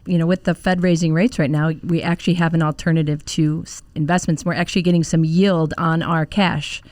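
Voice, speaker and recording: Female panel expert, notably low voice, close dry sound, offers practical advice conversationally. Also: level tone, fairly quick, a little mellow, no room sound. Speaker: financial advisor on a panel.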